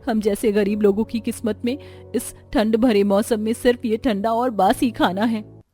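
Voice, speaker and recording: Woman playing a resigned poor mother, soft dark timbre, gentle, cartoon drama, light music. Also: fairly high pitch, fairly even intonation, moderate pace, husky. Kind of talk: cartoon voice acting.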